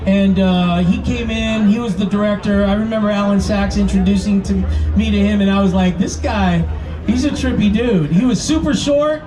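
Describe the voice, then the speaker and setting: A man's voice: high voice, moderate pace. A man in his fifties on a screening panel, reminiscing in a rambling, reflective way. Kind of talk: reminiscing to an audience. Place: screening event. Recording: distant mic with music behind.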